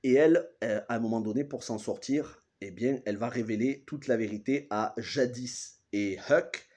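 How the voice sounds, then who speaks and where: A man in his thirties speaks with the congested sound of a cold, his pitch sitting in an ordinary middle range. He recaps a TV episode casually and haltingly, pausing and correcting names as he goes. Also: a little mellow. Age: 30s. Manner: hesitant, restarts and corrects himself often. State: has a cold. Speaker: TV series reviewer.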